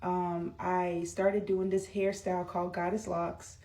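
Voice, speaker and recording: A woman's voice: lowish voice, little pitch movement, measured pace, mellow, recorded from a distance. A woman in her thirties chatting casually to camera while doing her makeup, rambling with lots of fillers. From United States. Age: 30s. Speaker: vlogger.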